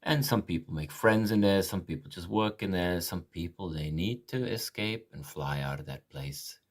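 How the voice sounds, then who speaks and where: A man who grew up in Malaysia, narrating a video tour of his own art in a gentle, reflective way. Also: low voice, normal speed. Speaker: artist presenting his work.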